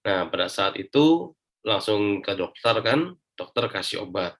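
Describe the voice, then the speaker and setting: Indonesian man telling his own story as a guest, lowish voice, casual and colloquial. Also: animated, measured pace, vocal fry, fairly bright tone. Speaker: interview guest giving a personal testimonial. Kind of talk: casual spoken personal account.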